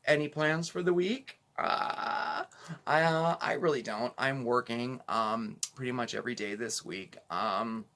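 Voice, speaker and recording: A middle-aged man hosting a casual home cooking channel, chatting and rambling to viewers with many fillers, his voice a little hoarse because he is losing it. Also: mid-range voice, measured pace, a bit bright.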